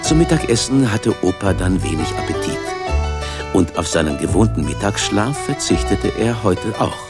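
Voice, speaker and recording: A man's voice: deep voice, big pitch swings, normal speed. A man reading scripted narration for a children's story. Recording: studio narration under loud music. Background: loud music.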